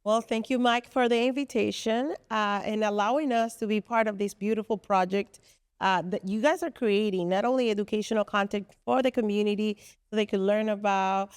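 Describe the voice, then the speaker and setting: Woman, smooth voice. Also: medium pitch, steady tone, fairly quick, dry recording, studio quality. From Dominican Republic. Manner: earnest, explains at length with fillers. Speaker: guest, co-founder of a business space. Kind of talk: podcast interview.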